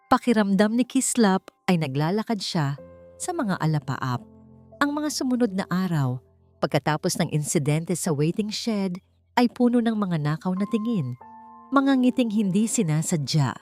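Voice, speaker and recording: A woman with a fairly low voice narrates a romantic audiobook over music. She reads in a measured storytelling way, setting phrases apart with deliberate pauses. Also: a little mellow. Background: background music.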